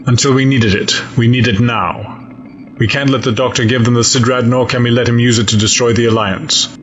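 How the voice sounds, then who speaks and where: A man playing a stern, coldly logical fleet admiral in an audio drama, speaking in a measured, formal, grave way. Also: medium pitch, fairly even intonation, a crowd in the background, lightly acted. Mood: resolute. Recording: dull lo-fi sound, audible noise.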